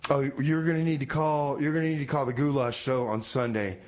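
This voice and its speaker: A man's voice: mid-range voice, fairly even intonation, a little fast. A man hosting a community radio music show, casually presenting and bantering in improvised comedy.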